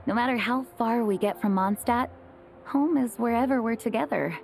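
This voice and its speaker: Earnest young leader, highish voice. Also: female voice, moderate pace, gravelly, slight background noise. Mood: grateful, reflective. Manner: gentle, formal, thoughtful. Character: earnest, courteous young female leader, reserved and caring. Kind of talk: video game character acting.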